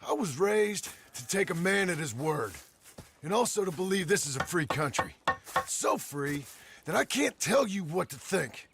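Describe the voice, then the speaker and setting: An actor playing a guarded, plain-spoken frontier ranch hand and father in a Western game, with a gravelly voice. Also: sits high, big pitch swings, moderate pace, noisy background, full character voice. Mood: guarded, firm. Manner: terse, folksy, plain-spoken. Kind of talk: naturalistic Western game acting.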